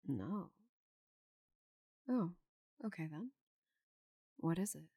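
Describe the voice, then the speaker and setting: A woman voicing a giant female orc knight in an ASMR roleplay, confident, teasing and loyal, with soft breathy asides. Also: lowish voice, lively intonation, very slow, no echo, clean studio sound, full character voice. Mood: calm, amused. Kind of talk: ASMR roleplay, intimate slow delivery.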